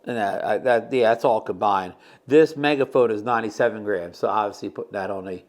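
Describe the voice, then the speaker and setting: A man's voice: on the low side, a little fast. A man giving a casual product demo as a video host, talking in a hesitant, repetitive, rambling way.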